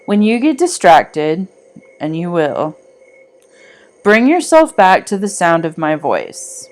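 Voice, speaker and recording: A woman reading a scripted guided meditation in a soothing, deliberate manner with long pauses. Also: lowish voice, very animated, a little mellow.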